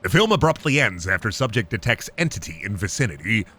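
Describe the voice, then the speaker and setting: A man's voice: lowish voice, sing-song, fast, sharp tone, slight background noise. A man who streams games, reading found-footage captions aloud in the style of a narrator.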